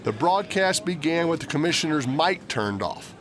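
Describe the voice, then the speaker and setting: A man's voice: fairly high pitch, lively intonation, moderate pace, a crowd in the background. A man delivering scripted narration as the host of a sports documentary.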